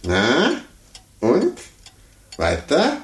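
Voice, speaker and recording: An actor playing a fussy, condescending older gentleman in a 1950s radio play, muttering to himself and talking down patronizingly. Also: mid-range voice, huge pitch swings, extremely slow, full character voice. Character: condescending, fussy, self-important older gentleman chess amateur. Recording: roomy, noisy 1950s radio recording.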